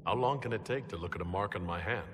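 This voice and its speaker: An actor playing an earnest, inquisitive fantasy game hero who asks direct questions. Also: male voice, low voice, fast talker, big-room sound, noisy background, close to an everyday voice. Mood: questioning. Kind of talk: scripted fantasy game dialogue.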